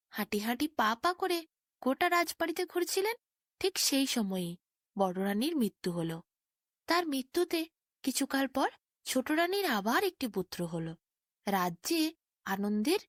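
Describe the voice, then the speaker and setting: A woman tells a scripted fairy tale in a fairly high voice. The recording is close and dry, with little room sound around her storytelling. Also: no echo.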